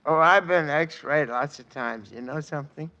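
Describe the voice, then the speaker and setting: A man's voice: fairly high pitch, moderate pace, bright, forceful tone, lo-fi sound. A man playing a coy, vain, timid cadet in a 1940s radio comedy. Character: prissy, vain, timid male cadet sidekick. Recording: faintly noisy 1940s radio recording.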